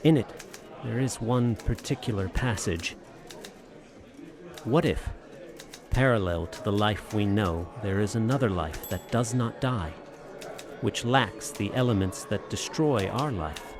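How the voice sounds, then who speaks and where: A man's voice: fairly low pitch, lively intonation, a little slow, a crowd in the background. An actor playing a grave, haunted, learned man in a radio drama, reciting dramatically.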